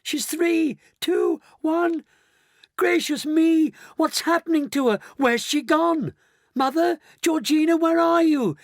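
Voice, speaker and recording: A man voicing a hysterical, panicked middle-aged woman in a shrill, wailing storybook voice. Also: slow pace, no room sound, studio quality, exaggerated voice. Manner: wailing, frantic.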